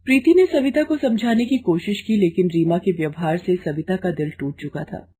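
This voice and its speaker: Mellow, husky woman storyteller. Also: lowish voice, normal speed. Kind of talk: scripted story narration.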